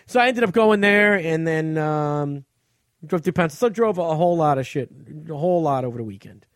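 A man's voice: fairly high pitch, animated, a little fast, no room sound, clean studio sound. An American man hosting a podcast, chatting casually and telling stories in an informal, rambling, sweary way. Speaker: podcast host and touring comedian.

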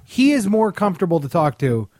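Male podcast co-host, higher-pitched, sardonic and opinionated, casual comic commentary with a very dry sound. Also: sing-song, a little fast, darkish tone, no room sound.

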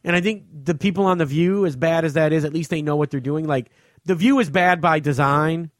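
A man with a slightly high voice chats casually as a podcast co-host. He is blunt and tends to ramble, swearing freely, and the recording is dry and close. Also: a little fast, no echo. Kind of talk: casual chat about television.

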